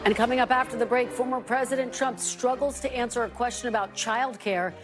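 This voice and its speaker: A woman anchoring a television news show, with crisp, brisk broadcast delivery as she interviews and introduces segments. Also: a little high, fairly even intonation, music in the background.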